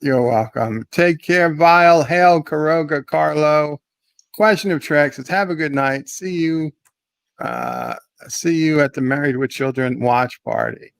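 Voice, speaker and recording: Guy hosting a stream wrap-up, gravelly voice, dry close sound, chill and chatty. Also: on the high side, measured pace, dry recording. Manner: relaxed, thanks chat members by name. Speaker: host. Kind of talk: casual livestream chat.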